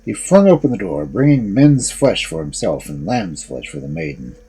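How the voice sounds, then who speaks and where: A man's voice: low voice, very animated, moderate pace, dark tone, husky. A man narrating fairy tales expressively as a storyteller and host.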